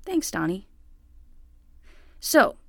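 A woman's voice: drawn-out words, no echo, clean studio sound. A woman playing a kindly, self-conscious teenage nanny with a casual, hesitant manner in a naturalistic fiction podcast. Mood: sheepish. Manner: casual, hesitant teen talk.

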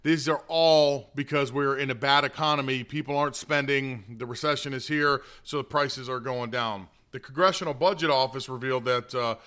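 A man's voice: medium pitch, fairly even intonation, normal speed, hardly any pauses, sharp tone. A man hosting a political talk show, delivering opinionated conservative commentary in a plain-spoken, conversational monologue.

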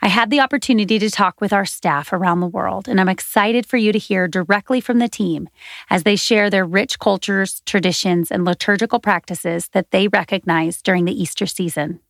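A woman hosts a faith podcast, her voice gravelly with a little vocal fry. She reads her script earnestly and steadily, close and dry with no room sound. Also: mid-range voice, brisk, dry recording. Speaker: podcast host.

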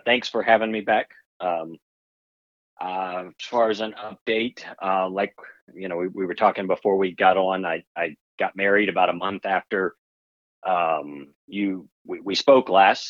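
Deep-voiced man on dull remote audio. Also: a little slow, no echo. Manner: relaxed, explanatory, frequent restarts. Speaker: podcast guest, plant grower. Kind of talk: casual interview talk.

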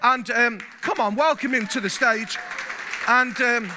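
Brief: male pastor from England, high-pitched and sharp, lively delivery that swells louder. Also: a little slow, some chatter behind, slight background noise. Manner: animated, gets louder when stirred, repeats words. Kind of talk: sermon. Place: church hall.

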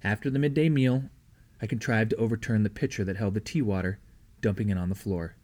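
A man's voice: fairly low pitch, fairly even intonation, a little fast, a little mellow. A man reading a story aloud as scripted narration. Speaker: audiobook reader.

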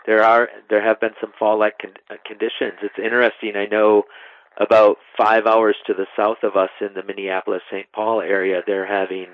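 A middle-aged man, giving measured, explanatory answers as an interview guest. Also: lowish voice, flat delivery, no echo. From west central Wisconsin, United States. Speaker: interview guest, national park superintendent. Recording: narrow phone-line sound.

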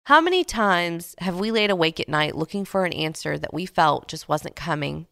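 A woman in her late twenties hosting a devotional podcast, speaking gently and earnestly with soft pauses, her voice a little stuffy from a cold. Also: fairly low pitch, lively intonation, vocal fry, dry recording, studio quality. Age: late 20s. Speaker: podcast host. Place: Houston, Texas.

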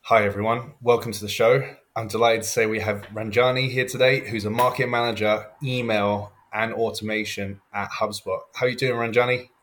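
A man hosting a podcast, asking a guest questions in a friendly, brisk way. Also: on the low side, level tone, fairly bright tone, faint music behind. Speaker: podcast host. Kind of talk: podcast interview.

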